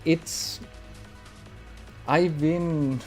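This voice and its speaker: A man's voice: a little high, fairly even intonation, extremely slow, faint music behind. A man from Italy with a mixed British and American English accent, chatting casually on a live gaming stream and answering viewers' questions as he plays. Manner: chatty, answers chat while playing.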